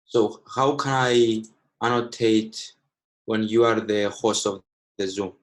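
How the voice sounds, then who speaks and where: A man's voice: fairly low pitch, steady tone, slow pace. Man in his twenties teaching. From Cyprus. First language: Greek. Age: 20s. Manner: fills with frequent "okay", slightly broken English. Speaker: trading educator. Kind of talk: live online teaching. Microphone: video call.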